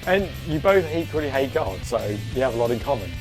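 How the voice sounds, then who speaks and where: A man co-hosting a casual comedy review, croaky from a sore throat and teasing dryly. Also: medium pitch, sing-song, fast, soft background music. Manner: dry, teasing. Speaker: co-host of a review show.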